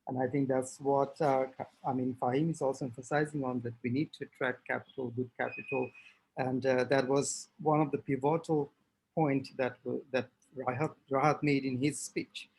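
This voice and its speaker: A man moderating a webinar panel over a video call, speaking haltingly with many fillers as he hands over to the next speaker. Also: mid-range voice, level tone, a little mellow, husky, poor audio.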